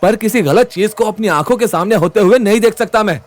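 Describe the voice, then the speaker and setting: A hot-headed young male student from an audio story, performed by a man. He is righteous and indignant, swearing in a high voice over faint music. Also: a little fast, close to an everyday voice.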